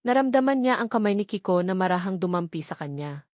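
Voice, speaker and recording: A woman reading a scripted dramatic story in a measured, earnest way. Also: medium pitch, lively intonation, darkish tone, no echo, clean studio sound. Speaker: story narrator.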